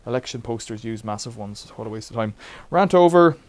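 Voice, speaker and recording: A man's voice: medium pitch, animated, fairly quick, no room sound. A middle-aged man casually demonstrating a bike repair in a cluttered workshop, rambling with wry asides.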